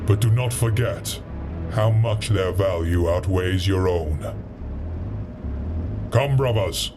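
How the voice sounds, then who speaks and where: A man's voice: low voice, takes it slowly. A man playing a proud, menacing alien shipmaster in a sci-fi audio drama, solemn and full of contempt. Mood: imperious, scornful. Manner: solemn, imperious, contemptuous of humans. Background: music.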